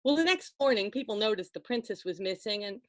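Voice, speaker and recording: A woman storyteller telling a folktale aloud to listeners, expressive and conversational, with dramatic pauses and asides. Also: medium pitch, no echo.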